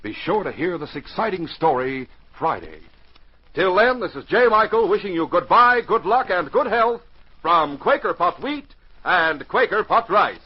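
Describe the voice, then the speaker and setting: A man announcing and narrating in dramatic 1940s radio style, emphatic and carefully enunciated, with a husky voice. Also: sits high, big pitch swings, normal speed. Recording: dull 1940s radio recording.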